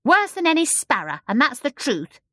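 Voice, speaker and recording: A woman reading aloud and voicing a brisk, sharp-tongued nanny who scolds tartly. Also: sits high, huge pitch swings, no room sound, clean studio sound, voice pushed up, heavily acted. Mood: scolding.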